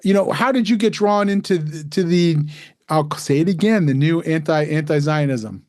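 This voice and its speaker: A man's voice: fairly high pitch, moderate pace, a little mellow, no room sound, studio quality. A man hosting a podcast interview, talking in a loose, rambling way as he sets up his questions. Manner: rambling with fillers and restarts. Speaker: podcast host.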